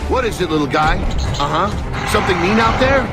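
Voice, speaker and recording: A sardonic military squad leader in a war game, brisk and commanding. His voice is fairly high for a man and very lively in pitch. Loud music runs behind him. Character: wry, brisk male army lieutenant leading a squad. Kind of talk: video game voice acting.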